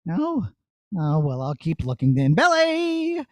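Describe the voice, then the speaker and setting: A young shepherd boy from a puppet show acted by a man, high in voice, searching and calling out. He questions the children simply, in a dry sound. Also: huge pitch swings, slow pace, a little mellow, no echo, exaggerated voice. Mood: searching, hopeful.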